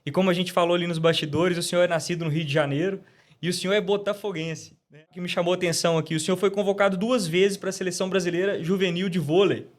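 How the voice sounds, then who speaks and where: A man's voice: high-pitched, fairly even intonation, quick pace. A Brazilian man hosting a podcast interview, polite and effusive, often ending with tag questions. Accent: Brazilian Portuguese. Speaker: podcast host.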